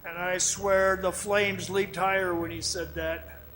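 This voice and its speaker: A man playing a weary, awestruck man who recounts an eerie ordeal in a radio drama, dramatic, halting and haunted. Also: high-pitched, level tone, background noise. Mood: awed. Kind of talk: dramatic radio play narration. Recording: music behind the voice.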